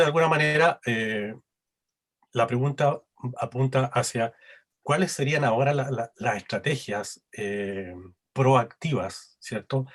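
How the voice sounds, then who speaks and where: Hesitant man moderating, slightly husky. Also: mid-range voice. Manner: hesitant, lots of fillers and tag questions. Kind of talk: moderating a panel.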